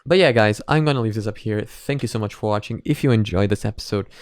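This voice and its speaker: A man's voice: on the low side, fast talker, no room sound, clean studio sound. A man hosting a reaction video, giving casual commentary and thinking aloud in a hesitant, repetitive way.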